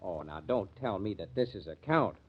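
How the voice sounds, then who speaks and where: An actor playing a bitter, wisecracking diner worker in a radio drama, slangy and sarcastic. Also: male voice, lowish voice, lively intonation, moderate pace, slightly rough. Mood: mocking. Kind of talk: 1950s radio drama acting. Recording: dull vintage radio recording.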